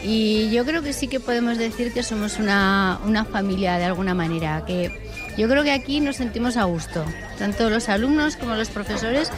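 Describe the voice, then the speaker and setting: A woman's voice: on the high side, moderate pace, over music. A woman representing a school, answering interview questions in a hesitant, reflective way. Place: busy building during an event.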